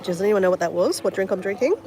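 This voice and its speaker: A woman's voice: medium pitch, animated, racing, background noise. Chatty Australian woman with a sniffly cold. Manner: chatty, self-mocking, talks straight to viewers. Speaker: travel vlogger. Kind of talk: casual livestream chat while painting. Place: outdoors by the sea on an island.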